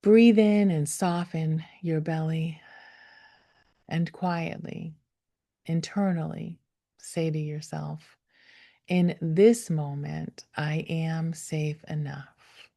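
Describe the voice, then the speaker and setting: A woman leading a guided meditation, speaking gently and without hurry, with soft, breathy phrase endings that fall toward a whisper. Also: deep voice, fairly even intonation. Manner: gentle, unhurried, with long pauses. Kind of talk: guided meditation, slow soothing instruction.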